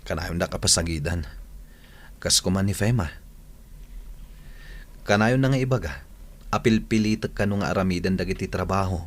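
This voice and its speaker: Low-voiced, put-upon Ilocano office worker. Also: male voice, moderate pace, pauses often. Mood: troubled. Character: earnest, put-upon young male office worker, Jojo. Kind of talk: Filipino radio drama acting. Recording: noisy old radio recording.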